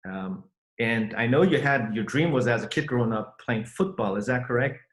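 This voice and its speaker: A man's voice: mid-range voice, moderate pace, echoey room. A middle-aged man from Germany hosting a podcast interview, talking earnestly, with many fillers and restarts. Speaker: podcast host. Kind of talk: conversational interview.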